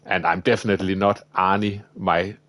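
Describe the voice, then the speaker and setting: A man's voice: low voice, normal speed, no echo. A man with a Danish accent, live-streaming a racing game and chatting to viewers while he drives. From Denmark. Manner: relaxed, thinking aloud while driving. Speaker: livestreamer. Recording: loud game engine noise behind. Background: racing car engine sounds.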